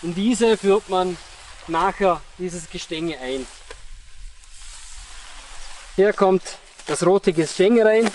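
A young man giving step-by-step instructions on pitching a tent in an outdoor meadow, pausing with fillers as he works. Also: a little mellow, slight background noise. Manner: explains step by step with pauses and fillers. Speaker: product demonstrator.

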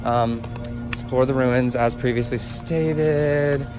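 A man's voice: mid-range voice, fairly even intonation, slow pace, over music. A young man in his twenties narrating a casual camping vlog in a playful, mock-salesy way, filming himself on a handheld phone outdoors in the woods. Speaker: vlogger. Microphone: phone held at arm's length.

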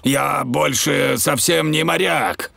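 A man's voice: on the high side, slow pace, creaky, bright, forceful tone, no room sound, full character voice. A man voicing a hearty, jolly father dog who is a sea captain, in a Russian cartoon dub.

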